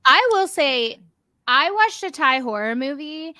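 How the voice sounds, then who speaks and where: A woman co-hosting a relaxed interview, asking lively, playful questions. Her voice is bright and a little high, and it sounds dry and close with no room echo. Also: unhurried. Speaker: interview host. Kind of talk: casual interview chat.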